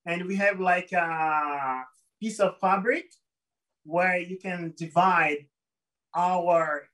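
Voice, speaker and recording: A Brazilian man speaks English as a second language, with a Portuguese accent. He talks slowly and haltingly, stops to find his words and laughs often. His voice sounds a little distant from the mic. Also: high-pitched, fairly even intonation, fairly bright tone. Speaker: guest. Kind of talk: personal storytelling interview.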